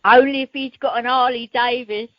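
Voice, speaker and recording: A woman's voice: a little high, steady tone, moderate pace, no echo, natural voice. A woman in an amateur radio play, playing a brash, flirty wife with a cheeky, colloquial manner. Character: brash, flirty married woman with expensive tastes. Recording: dull telephone conference line.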